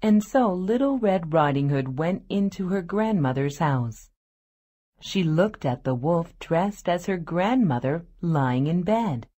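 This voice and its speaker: A woman's voice: lowish voice, expressive, slow, dark tone. A woman narrator reading a scripted fairy tale for children. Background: faint music.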